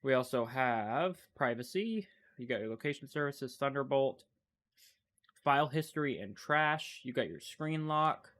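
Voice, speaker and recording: A man with a blocked, stuffy nose talks in a relaxed, unscripted way as he walks through software on screen. He thinks aloud, wonders about things and trails off mid-thought. His pitch sits in an ordinary middle range. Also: a little slow. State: stuffy, congested from a cold. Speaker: tech channel host. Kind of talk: casual screen-recording commentary.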